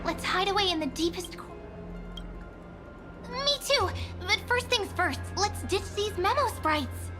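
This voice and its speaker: A cute little memory sprite for an anime game. The voice is girlish and extremely high, chirpy and affectionate, with a playful air of mystery. Clear music plays behind it. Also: expressive, a little slow. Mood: playful, urgent.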